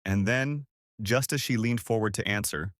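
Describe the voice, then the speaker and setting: A man's voice: fairly low pitch, moderate pace, fairly bright tone, dry recording, clean studio sound. A man narrating a scripted story dramatically, with suspenseful, emphatic short phrases.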